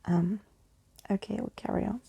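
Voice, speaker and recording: A woman reading a story aloud in a soft, hushed ASMR style, with a breathy, crackly voice. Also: medium pitch, steady tone, normal speed, lo-fi sound. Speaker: storyteller, ASMR video host.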